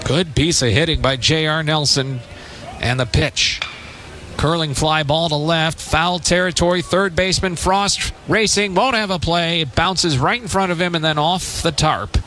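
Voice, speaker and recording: A man's voice: on the high side, measured pace, pushed and bright, slight background noise. An American man calling baseball play-by-play on live radio in clipped, punchy phrases. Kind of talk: live radio sports commentary. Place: ballpark broadcast position.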